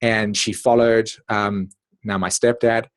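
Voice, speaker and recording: Low-voiced South African man lecturing informally. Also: level tone, slow pace. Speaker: astrologer presenting at an online meeting. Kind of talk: informal spoken lecture with personal reflections. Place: online video meeting.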